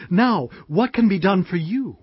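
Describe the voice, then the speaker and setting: An actor playing the prophet Elisha, an earnest, commanding holy man who speaks in a solemn, deliberate way, in a dramatized audio scripture. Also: high-pitched, lively intonation, husky, no echo, exaggerated voice. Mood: instructing. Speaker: prophet character. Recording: dull dry audio with music.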